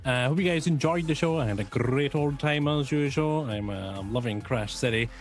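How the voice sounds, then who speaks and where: A man player giving an upbeat shout-out, reeling off his channels and plans. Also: medium pitch, moderate pace, faint music behind. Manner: upbeat, reels off plugs. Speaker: player and streamer. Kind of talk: casual stream shout-out.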